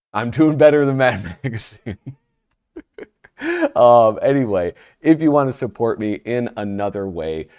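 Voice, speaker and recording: A man's voice: lowish voice, a little mellow. A man hosting his own magazine review channel, chatty and self-deprecating, sounding nasal from a cold. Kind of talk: casual solo review vlog.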